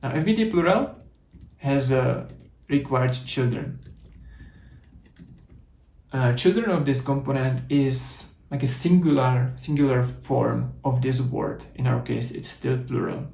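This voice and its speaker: A man's voice: medium pitch, measured pace, gravelly, a little mellow, reverberant. A man with a Czech accent giving a methodical conference talk, walking through code in a live demo. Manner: explanatory, methodical, with restarts. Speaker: conference speaker.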